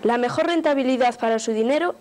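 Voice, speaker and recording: A woman's voice: a little high, fast. A woman reading scripted narration for local adverts, as a voice-over. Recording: music bed under the voice. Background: music.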